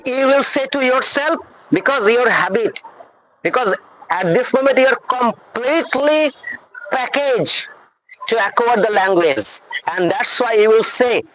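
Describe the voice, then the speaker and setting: A woman's voice: a little high, expressive, unhurried, fairly bright tone, slight background noise, poor audio. A woman with a Bengali accent, a native Bengali speaker, giving an earnest motivational English lesson and repeatedly checking that her audience understands. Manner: repetitive, earnest, addresses audience directly. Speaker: English teacher.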